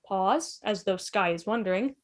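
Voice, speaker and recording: A woman's voice: mid-range voice, normal speed. A woman narrator reading stage directions for a drama script. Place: online video call.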